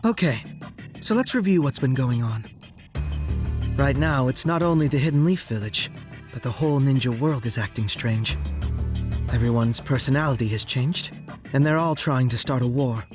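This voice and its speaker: An actor plays a young anime game ninja with a medium-pitched voice. The delivery is calm, methodical and explanatory, set over clearly audible music. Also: normal speed, natural voice. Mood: thoughtful. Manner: orderly, explanatory. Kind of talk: English anime game dub acting.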